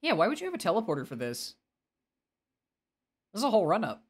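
A man's voice: high-pitched, wildly swooping pitch, quick pace, husky. A man with a nasal voice, talking casually and expressively as he livestreams a game and answers chat, often self-deprecating.

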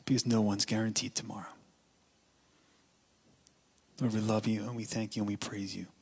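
A man on a church stage praying slowly and deliberately into a stand mic before the sermon. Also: lowish voice, flat delivery, vocal fry, breathy edge. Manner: slow, deliberate prayer. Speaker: worship leader praying. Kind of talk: spoken prayer. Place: church stage behind keyboard.

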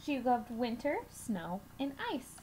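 Young female storytime reader, high voice, deliberate expressive delivery, slightly noisy webcam recording. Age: young adult. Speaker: children's storytime reader at a school. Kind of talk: reading a picture book aloud to children. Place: small room at home, indoors.

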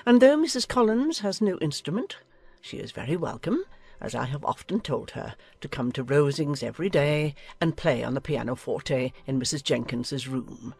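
An imperious, husky older noblewoman. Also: deep voice, lively intonation, moderate pace, dark tone, no echo, pitched below the performer's own voice, exaggerated voice. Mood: lecturing. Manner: pompous, commanding. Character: imperious, meddling older aristocratic lady. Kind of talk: dramatized audiobook acting.

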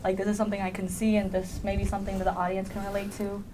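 A woman's voice: medium pitch, monotone, racing. A young woman student actor speaking casually as an interview guest. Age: teens to young adult, high school student. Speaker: student cast member being interviewed. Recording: faint background room noise.

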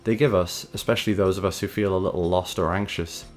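A man with a low, deep voice narrates a scripted video essay over faint background music. His delivery is measured and conversational, with a wry, reflective touch. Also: fairly even intonation. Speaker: host and narrator of a video series.

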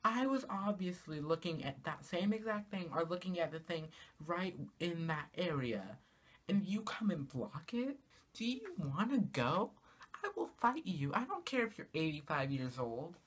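A teenage girl vlogging from her small bedroom, talking casually to the camera and ranting in an exasperated way, her pitch jumping up on rhetorical questions. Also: sing-song, moderate pace. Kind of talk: casual comedic rant to camera. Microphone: camera in front of her, at arm's length.

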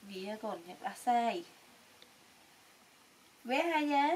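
A woman's voice: fairly high pitch, lively intonation, slow pace. A young woman talking casually to pet animals in a coaxing way, repeating short words. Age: young adult. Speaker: pet monkey caretaker. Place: small indoor room, on a bed. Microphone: camera mic a little way off. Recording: distant mic with clear background noise.

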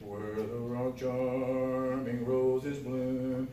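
A man's voice: flat delivery, drawn-out words, mellow. An older man singing unaccompanied gospel hymns as a church singer. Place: small wood-paneled church room. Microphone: distant camera in the room. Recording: roomy, distant, noisy church recording with rumble.

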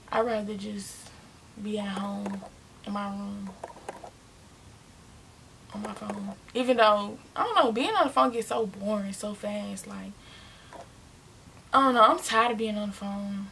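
A nineteen-year-old woman, chatting casually and rambling through a Q and A in her bedroom, often correcting herself and dropping into quiet asides. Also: mid-range voice, measured pace, long pauses, a little hiss or hum, rough recording. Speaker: vlogger. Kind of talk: casual Q and A talking to viewers. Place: bedroom, indoors, small.

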